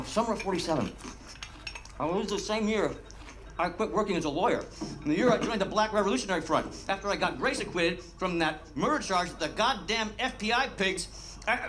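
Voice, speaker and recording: Manic, sweary American conspiracy nut, swooping pitch. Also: male voice. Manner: rambling, excitable, sweary, emphatic. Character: manic, foul-mouthed American conspiracy theorist and ex-lawyer. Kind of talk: video game cutscene acting.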